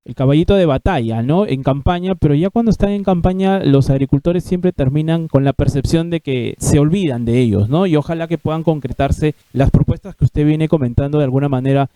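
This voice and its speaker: A man's voice: a little high, warm tone, no echo. A man who hosts a political interview, asking probing questions and frequently murmuring acknowledgements. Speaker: interviewer host.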